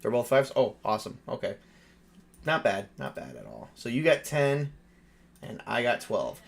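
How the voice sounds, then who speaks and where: A man's voice: medium pitch, wildly swooping pitch, normal speed, gravelly. A man narrating a tabletop horror game as game master in a casual, improvised and informal way. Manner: informal, improvising with mild swearing.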